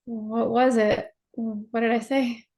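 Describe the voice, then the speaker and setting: A woman acting a dazed, fragile young woman in a staged play reading, halting and repeating herself nervously. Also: a little high, flat delivery, darkish tone. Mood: puzzled, insistent. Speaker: actor.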